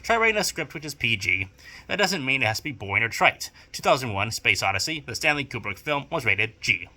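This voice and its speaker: A young man delivers a scripted personal essay at a quick clip. His voice is very bright and sharp, sitting at an average male pitch. He sounds bookish and formal, stressing his points firmly. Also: sing-song. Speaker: video essayist and audio drama producer. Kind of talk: scripted narration, opinion essay.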